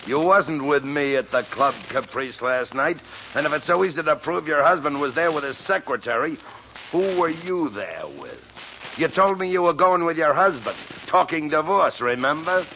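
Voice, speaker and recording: A big, bullying gangster type, jealous and hot-headed. His voice is hard, bright and a little high, with blunt, menacing speech. It comes through a dull 1940s radio recording with faint noise. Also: lively intonation, normal speed. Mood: threatening. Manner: blunt, menacing, rough grammar. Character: huge jealous male tough guy, bullying and hot-headed.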